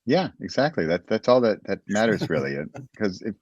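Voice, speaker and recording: A very low-voiced American man talks through his past in a loose, rambling way, often restarting his sentences. His recording sounds dull and dry, with no room around it. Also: very animated, fairly quick, a little mellow, no room sound. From United States. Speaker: guest. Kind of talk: casual interview chat.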